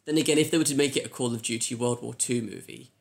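A man's voice: mid-range voice, fast, creaky. A man co-hosting a pop culture podcast, chatting informally with tentative phrasing. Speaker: podcast co-host. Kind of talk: casual pop culture chat.

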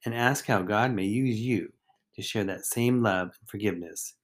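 A man's voice: on the low side, moderate pace. Man reading a scripted devotional reflection. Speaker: deacon, devotional podcast host.

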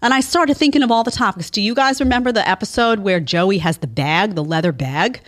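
A woman's voice: medium pitch, fairly quick. A woman hosting an opinion show, delivering a sarcastic, incredulous, emphatic monologue. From Italian family background. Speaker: show host.

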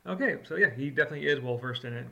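Very bright-voiced man rambling casually. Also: medium pitch, very fast, gravelly, highs cut off. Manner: rambling, heavy on like and uh. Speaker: co-host. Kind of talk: casual chat.

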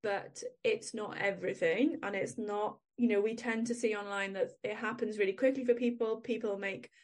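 A woman's voice: mid-range voice, steady tone, moderate pace. A young adult woman with a Welsh accent, talking candidly and chattily as a podcast co-host, laughing often. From Wales. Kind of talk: casual chat.

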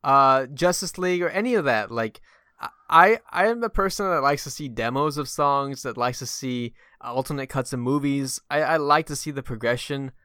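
A man's voice: mid-range voice, expressive, moderate pace, pushed and bright, smooth, no echo, clean studio sound. A man hosting a casual film discussion, rambling and often stammering as he works through his points. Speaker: film podcast host.

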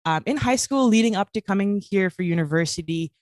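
A woman's voice: medium pitch, animated, fairly quick, a little mellow, no echo. Woman in late twenties, from Kuwait, earnest, lots of um, dry close sound. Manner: earnest, frequent "um" fillers. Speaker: guest. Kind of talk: casual interview talk.

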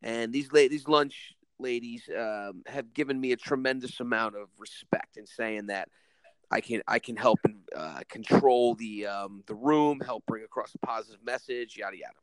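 Earnest male teacher co-host, rambling, commanding delivery, mid pitch, dry booth. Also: normal speed, clean tone, no room sound, low-quality recording. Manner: rambling, earnest, many restarts. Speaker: podcast co-host, school teacher. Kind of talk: casual podcast chat.

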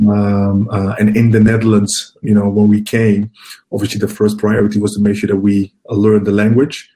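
A man in his forties from Ghana, speaking as an interview guest. His voice is low, dark and mellow, and it barely rises or falls. He talks haltingly, filling gaps with "um" and "you know" and repeating words as he goes. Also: completely flat delivery. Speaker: guest, HR professional. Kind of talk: casual interview answers.

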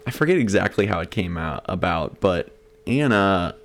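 A man's voice: low-pitched, expressive, normal speed, slight background noise. A young man, a podcast guest, telling rambling stories in casual chat and laughing often. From Cincinnati area, USA. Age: young adult.

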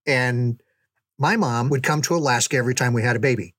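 An older man with a mid-pitched voice tells a story in a casual, folksy manner. He takes his time and pauses to stress details. The recording is dry, with very little room sound. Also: a bit bright, husky, no room sound. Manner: unhurried, folksy, halting with pauses for emphasis, chuckling. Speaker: podcast storyteller, reminiscing father.

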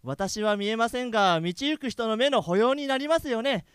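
A man's voice: extremely high pitch, animated, normal speed, fairly bright tone, clear, resonant tone, no room sound, studio quality. A man playing a polite, mild-mannered blind older brother in a comedic radio drama. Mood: amused, courteous.

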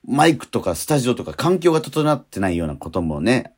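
A man's voice: medium pitch, big pitch swings, fairly quick, darkish tone. A man hosting a radio show, talking casually yet politely and earnestly as he wraps up.

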